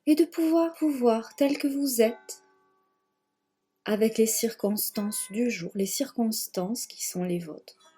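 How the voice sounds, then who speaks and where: A woman leading a guided yoga nidra relaxation in a gentle, measured manner, leaving long pauses and repeating phrases. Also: a little high, faint music behind. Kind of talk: guided meditation, slow spoken relaxation.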